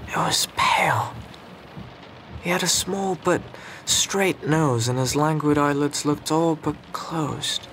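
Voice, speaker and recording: A man playing a reflective, observant storyteller, narrating a radio drama in measured, literary phrases. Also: on the high side, fairly bright tone, a little hiss or hum.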